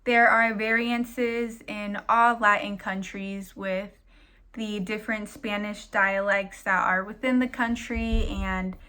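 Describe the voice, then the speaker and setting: Young woman, very slow delivery, bright voice, smooth clear tone. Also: medium pitch, level tone.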